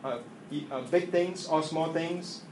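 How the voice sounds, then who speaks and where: Man, Chinese native speaker, sermon in English, raised pitch, halting with fillers, echoey room, faint background hum. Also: a little mellow. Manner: halting, many fillers and restarts, earnest. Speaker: preacher. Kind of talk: sermon with opening prayer.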